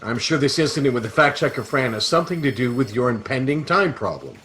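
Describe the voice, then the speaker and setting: A man's voice: medium pitch, big pitch swings, fast, a bit bright. Wry, unflappable male space adventurer, acted. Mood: dry, composed. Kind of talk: comic sci-fi audio drama acting. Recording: slightly noisy home recording.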